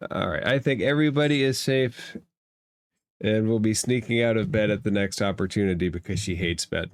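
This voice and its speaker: A man's voice: on the low side, fairly quick, clean tone. A man acting as game master, casually narrating and explaining a tabletop game in a conversational way.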